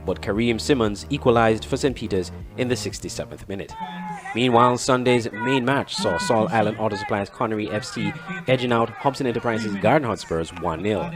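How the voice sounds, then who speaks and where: A man's voice: fairly low pitch, a little fast. A man reading scripted sports news as a newsreader. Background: music bed.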